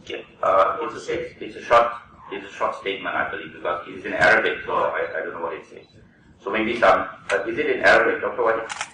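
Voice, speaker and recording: A man serving as counsel, addressing judges politely and haltingly in a formal hearing. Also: low-pitched, bright, forceful tone, slight background noise. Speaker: counsel at a tribunal. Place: large courtroom. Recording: very echoey courtroom, from far off.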